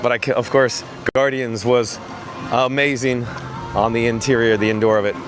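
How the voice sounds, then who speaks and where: Man, hoarse voice, chatty live-stream vlogger, outdoors, reads chat aloud. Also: medium pitch, level tone, normal speed, music in the background. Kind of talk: live-stream walking commentary. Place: outdoors at a theme park.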